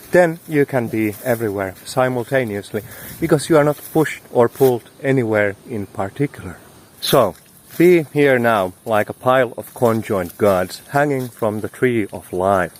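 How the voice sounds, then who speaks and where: A man gives a reflective, philosophical monologue in a medium-pitched voice. His phrasing is flowery and musing, with slangy asides dropped in. Some outdoor noise sits behind his words. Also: normal speed. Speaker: spiritual teacher hosting an audio series. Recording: noisy outdoor recording, wind and vehicle noise.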